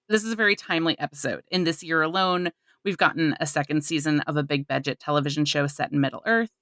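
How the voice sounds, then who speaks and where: A woman's voice: lowish voice, fairly bright tone, dry recording. A woman hosting a podcast, reading a scripted introduction. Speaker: podcast host.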